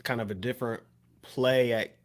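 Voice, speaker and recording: Medium-pitched man asking casual questions. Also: steady tone, normal speed. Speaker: talk show panelist asking questions. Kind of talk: casual chat.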